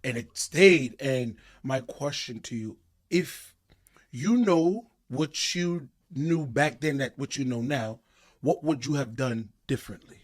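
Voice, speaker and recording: A man's voice: mid-range voice, slow. A man hosting a podcast, loose and playful, introducing guests with big hype. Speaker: podcast host.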